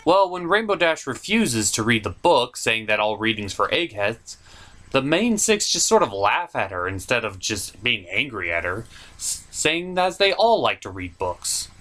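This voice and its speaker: A man's voice: medium pitch, sing-song, a little slow, sharp tone. A man hosting a puppet review show, narrating a scripted comedic cartoon review in a theatrical, mock-exasperated manner.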